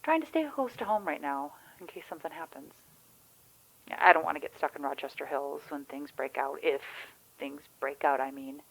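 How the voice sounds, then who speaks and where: A woman's voice: low-pitched, normal speed, gravelly, a bit bright, exaggerated voice, low-quality recording. A woman playing a chatty, wry, anxious friend in a naturalistic audio drama, rambling candidly with the odd swear. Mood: resigned worry. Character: chatty, wry, anxious Michigan woman on the phone during zombie outbreak.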